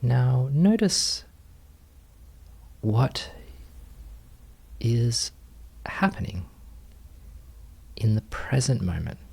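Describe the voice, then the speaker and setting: A man leading a guided meditation as a teacher, speaking gently in short phrases with unhurried pauses. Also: medium pitch, very animated, gravelly, slightly rough.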